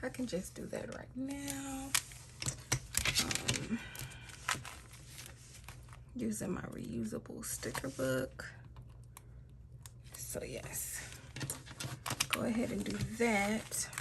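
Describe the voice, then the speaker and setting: A woman casually talking through her planner decorating, relaxed and chatty with a slightly raspy voice that drops to a half-whisper at times. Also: fairly high pitch, very slow, long pauses, noisy background. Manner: relaxed, chatty, trailing off with fillers. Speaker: planner vlogger.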